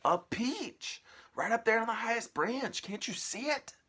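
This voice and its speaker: A man voicing an excitable, bossy aunt from a children's storybook, exclaiming in short, agitated bursts. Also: very high-pitched, a little slow, slightly rough, pitched above the performer's own voice, full character voice. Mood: bossy, excited.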